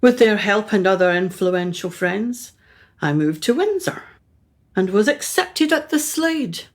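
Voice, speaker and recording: A woman's voice: medium pitch, expressive, unhurried. A woman acts out a self-absorbed society mother for a radio play. She is imperious and brusque, speaking in short, clipped phrases with upper-class hauteur. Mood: haughty.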